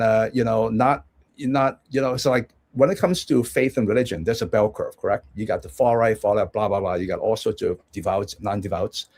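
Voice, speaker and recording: A man's voice: on the low side, darkish tone, slightly rough. A man from Hong Kong, a guest analyst giving rambling conversational commentary with many restarts and check-ins.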